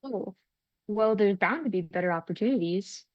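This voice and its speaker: A woman's voice: medium pitch, expressive, normal speed, no room sound, close to an everyday voice. Actress as an upbeat young woman, dry muffled sound. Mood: awkward, encouraging. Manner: cheerful, hesitant, earnest. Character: upbeat, kind young woman survivor, gleefully optimistic. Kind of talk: script table read, naturalistic acting.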